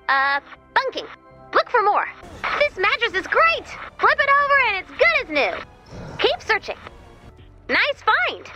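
A woman voicing a cheerful, chatty, excitable robot helper in a video game, with a perky, quirky delivery. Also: extremely high pitch, exaggerated voice. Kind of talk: video game mission dialogue.

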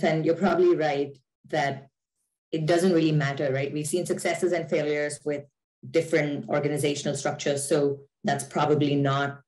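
Low-voiced woman hosting a webinar. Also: darkish tone, low-quality recording. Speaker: webinar host, general manager. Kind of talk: video call interview. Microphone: video call.

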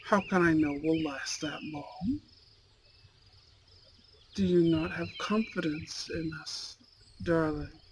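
A performer voicing a possessive, suave young man in an intimate ASMR romance roleplay, speaking in formal, deliberate phrases. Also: mid-range voice, a little hiss or hum, natural voice. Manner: slow, formal, deliberate phrasing, velvety menace. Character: possessive, suave young man, elite school bad boy boyfriend. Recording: clear background insect and nature ambience. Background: crickets and outdoor nature sounds.

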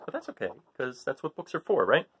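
A middle-aged man reading a children's picture book aloud in a theatrical way, with dramatic pauses and hushed phrases. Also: medium pitch, big pitch swings, a little hiss or hum. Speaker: read-aloud storyteller. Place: small living room at home.

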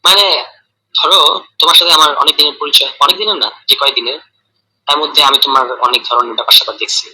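A man with a Bangladeshi accent in a private, casual phone call, speaking in a hesitant, halting and defensive way on a tinny recording. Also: fairly high pitch, lively intonation, pushed and bright, breathy edge. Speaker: caller in a private phone conversation.

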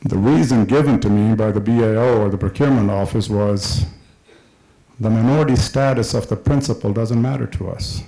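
A man's voice: on the low side, brisk, vocal fry, warm tone, rough recording. A middle-aged man from Kenya speaking at a public session, delivering an impassioned, emphatic speech that repeats its key points. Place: large hall with a screen, indoors. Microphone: handheld microphone.

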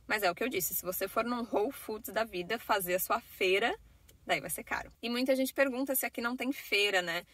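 Chatty young Brazilian woman, bright voice. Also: on the high side, fairly quick, no echo. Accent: Brazilian Portuguese. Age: young adult. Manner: chatty, talking with her hands, many fillers like "né" and "assim". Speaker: vlogger. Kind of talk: casual vlog chat to camera. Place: inside a parked car. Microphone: phone camera at arm's length.

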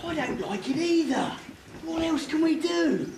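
A blustering henchman played by a stage actor with a high, animated voice, bickering like a comic coward. The recording is a noisy, echoing amateur theatre performance. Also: measured pace. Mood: bossy, grumbling. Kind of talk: amateur stage pantomime comedy.

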